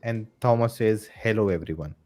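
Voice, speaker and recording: A man's voice: on the low side, moderate pace, a little mellow, faint music behind. A man hosting a live stream, upbeat and reading out chat votes. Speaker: stream host.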